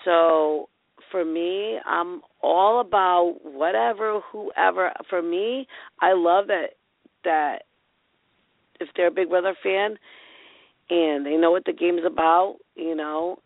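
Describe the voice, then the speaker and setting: A woman with a raspy voice calling in to a talk show, rambling excitedly. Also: fairly low pitch, takes it slowly, dry recording. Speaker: caller. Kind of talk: casual call-in chat. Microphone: phone. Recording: tinny phone line, dry.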